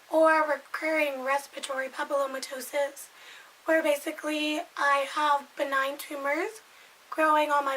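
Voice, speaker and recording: A young woman in her twenties talks casually to camera in a raspy, hoarse voice that sits fairly high. She rambles a little, circling back and repeating herself as she goes. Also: fairly bright tone, slight background noise, poor audio. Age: 20s. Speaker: vlogger.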